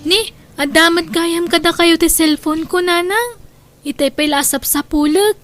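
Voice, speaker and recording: Radio drama part: actress as a tender, plaintive young daughter, extremely high voice, music underneath. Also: female voice, steady tone, a little slow, a bit bright. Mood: gentle. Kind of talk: Ilocano radio drama acting. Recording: music behind.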